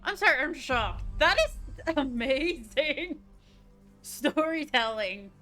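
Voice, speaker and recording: Bright, high-voiced woman livestreaming. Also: lively intonation, takes it slowly, soft background music. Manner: gushing, giggly, thinking aloud. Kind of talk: casual live game commentary.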